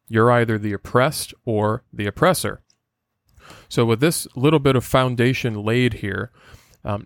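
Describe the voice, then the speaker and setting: Man, Portuguese first language, mid-pitched, earnest lecturing podcast host, dry close-mic sound. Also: gravelly, dry recording.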